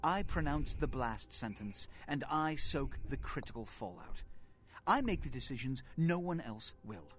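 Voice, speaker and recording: Pompous vampire prince, theatrically expressive. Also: male voice, mid-range voice, unhurried, slight background noise. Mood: grave, self-important. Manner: grandiloquent, formal, melodramatic. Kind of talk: dramatic video game voice acting.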